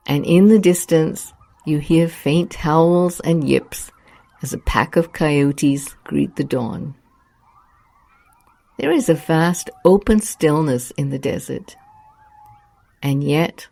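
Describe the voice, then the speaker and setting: A woman narrating a scripted guided meditation in a soothing, hushed, unhurried manner. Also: deep voice, creaky.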